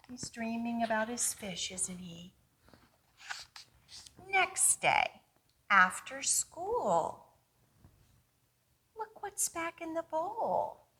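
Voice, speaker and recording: A woman's voice: medium pitch, sharp tone, a little hiss or hum. A woman hosting a children's storytime, reading aloud expressively and coaxingly putting questions to young listeners.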